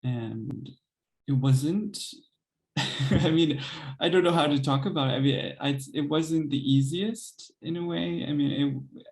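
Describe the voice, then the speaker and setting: A young man and composer, speaking thoughtfully in an interview, hesitant with many pauses and soft laughs. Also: a little high. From United States. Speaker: composer and pianist guest. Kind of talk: reflective interview conversation.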